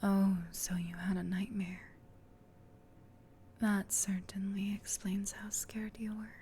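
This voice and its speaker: A woman voicing a gentle, caring sleep paralysis demon in a soft, breathy, reassuring whisper for an ASMR roleplay. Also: mid-range voice, flat delivery, slight background noise, close to an everyday voice. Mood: soft, musing. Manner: tender, reassuring, unhurried.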